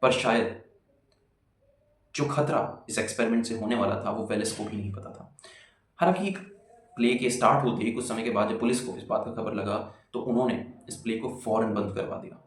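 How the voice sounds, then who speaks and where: A man narrating a video as dramatic, suspenseful storytelling, with pauses and rhetorical questions, in an echoing recording with music behind. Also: fairly low pitch, fairly even intonation, a little hiss or hum. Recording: roomy echo, music behind.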